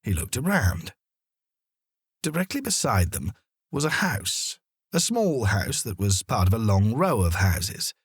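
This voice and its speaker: A man narrates a children's story at an unhurried pace. His voice sits a little low but moves up and down a lot, with deliberate, expressive phrasing. The sound is dry, with no room to it. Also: breathy edge, no echo. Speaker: audiobook narrator.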